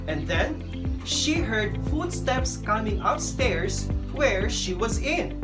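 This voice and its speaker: A woman's voice: fairly high pitch, sing-song, slow pace. A woman teacher giving scripted, instructional narration for a video lesson. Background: music.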